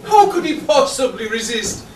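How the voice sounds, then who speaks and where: A man's voice: very high-pitched, big pitch swings, normal speed. A man playing a vain, man-hungry ugly stepsister in broad, gushing amateur pantomime style. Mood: preening. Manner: gushing, exaggerated. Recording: echoey hall from far off, audience noise.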